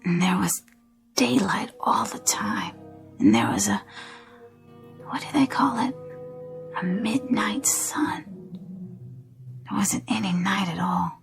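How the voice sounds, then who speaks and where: An actress playing a weak, dreamy woman waking from a feverish nightmare in a radio drama, halting and breathy, with relieved wonder. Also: mid-range voice. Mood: dazed, weak. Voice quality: breathy, whispery. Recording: music under the voice.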